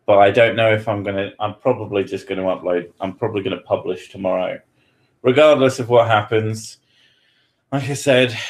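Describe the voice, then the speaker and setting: A man from Britain chatting casually as host, joking, swearing freely and laughing often. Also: lowish voice. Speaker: podcast host answering questions. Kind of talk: casual chat.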